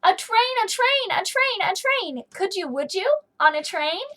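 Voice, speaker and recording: A woman's voice: very high-pitched, expressive, unhurried, sharp tone, pitched above the performer's own voice, exaggerated voice. A woman voicing a small, happy, persistent storybook character, coaxing eagerly with sing-song questions.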